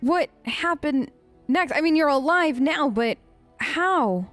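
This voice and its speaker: A woman plays through a game, improvising replies in character in her own casual voice. It is fairly high, and she is chatty, rambling and playful, with music behind her. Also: lively intonation, takes it slowly, fairly bright tone. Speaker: gaming let's-player. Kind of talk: casual improvised role-play commentary.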